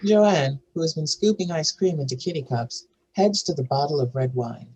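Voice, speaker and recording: A narrator with a husky, slightly rough voice reads screenplay stage directions and scene headings. The delivery is scripted narration for a table read. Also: mid-range voice, moderate pace, warm tone, rough recording.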